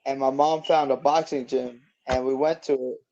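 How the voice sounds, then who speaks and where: A man's voice: medium pitch, steady tone, moderate pace, vocal fry, dark tone, highs cut off, a little hiss or hum. A man in his twenties, a boxer guest, chatting casually in an easygoing, rambling way with lots of fillers. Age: 20s. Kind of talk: casual interview chat.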